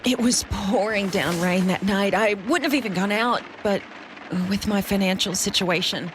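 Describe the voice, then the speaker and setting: A woman voicing a frightened witness in a mystery game, haltingly recounting something dreadful in a dramatic way. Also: medium pitch, music in the background, close to an everyday voice. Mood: shaken recollection. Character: shaken, frightened female witness recounting a horror. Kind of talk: video game voice acting.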